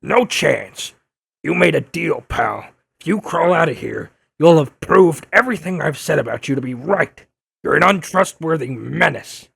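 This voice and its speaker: An actor playing a gruff, blustering older newspaperman in an audio drama, insistent and quick to insult. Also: a little high, expressive, fairly bright tone, no echo, heavily acted. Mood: scornful. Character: gruff, combative older male newspaperman turned blogger. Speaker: investigative reporter interviewing a superhero. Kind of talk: audio drama dub acting.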